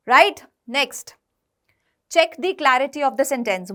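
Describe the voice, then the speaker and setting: A high-voiced woman with an Indian accent gives a classroom-style English lesson. She explains point by point with firm emphasis, often repeating herself and checking that learners are following. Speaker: English exam-prep teacher.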